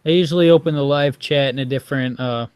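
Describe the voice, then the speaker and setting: A man chatting on a live video call in a calm, matter-of-fact way. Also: medium pitch, fast, clear, resonant tone, dry recording. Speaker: guest reading the live chat. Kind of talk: casual chat.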